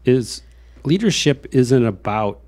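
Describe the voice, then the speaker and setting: A man's voice: mid-range voice, sing-song, slow, a little mellow. A man with an American accent hosting a conversational interview, speaking earnestly in a rambling way with repeated phrases. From Iowa, United States. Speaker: show host. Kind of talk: conversational interview with personal reflection.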